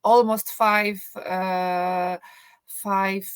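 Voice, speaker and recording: A woman's voice: mid-range voice, flat delivery, very slow, clear, resonant tone. Woman, chatty and hesitant. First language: Polish. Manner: thinks aloud with many fillers and restarts, joking. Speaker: guest, university teacher. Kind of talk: casual conversational English, non-native.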